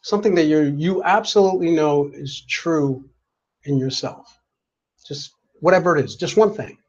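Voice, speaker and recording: A man's voice: on the high side, moderate pace, darkish tone. A man chatting casually as a game player, asking playful, probing questions. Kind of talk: casual chat. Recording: dull lo-fi sound.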